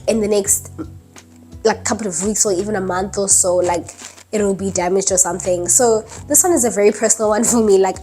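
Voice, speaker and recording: A young woman in her early twenties chats straight to camera in a relaxed vlog style. She fills her sentences with "um" and "like" and laughs lightly now and then. Faint music plays quietly behind her voice. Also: medium pitch. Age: early 20s. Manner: chatty, many fillers like "um" and "like", laughs lightly. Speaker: vlogger. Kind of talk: casual talking-head vlog. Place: indoors at night, dim lighting.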